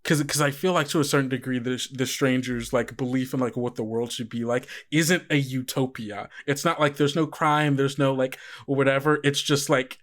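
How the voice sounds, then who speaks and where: A man's voice: mid-range voice, steady tone, normal speed, hardly any pauses, a bit bright. A man casually improvising as game master of a podcast tabletop game, thinking aloud and often putting questions to his player.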